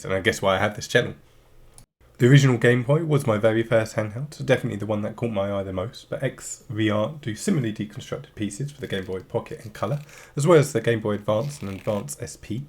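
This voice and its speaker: A man in his thirties with a gravelly, flu-roughened voice that sits fairly low. He chats keenly through an unboxing and overview of a retro handheld, sounding a little off because he is ill. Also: darkish tone. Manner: chatty, enthusiastic product talk. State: has the flu, voice a bit off. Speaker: retro gaming channel host.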